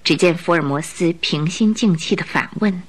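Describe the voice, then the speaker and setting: A woman narrating a radio drama in an expressive, scripted storytelling style. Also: on the low side, moderate pace, slightly rough.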